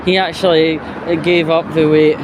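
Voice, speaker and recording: Higher-voiced Scottish man vlogging by noisy roadside. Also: little pitch movement, normal speed, muffled recording. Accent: Scottish, Glasgow area. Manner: blunt, sweary grumbling. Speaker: vlogger presenting a walking video. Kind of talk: casual off-the-cuff vlog chat. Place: outdoors by a roadside bus stop. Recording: outdoor street noise with passing traffic. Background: traffic and street ambience.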